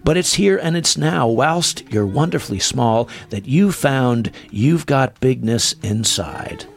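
A man voicing a loving mother yak in a children's story, soft and coaxing. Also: mid-range voice, animated, slow, creaky. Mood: tender.

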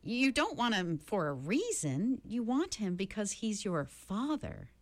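A woman's voice: mid-range voice, very animated, a little slow, dry recording, pitched above the performer's own voice. A woman reading an audiobook, voicing an impatient, brave teenage girl who demands answers. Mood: demanding. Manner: urgent, demanding.

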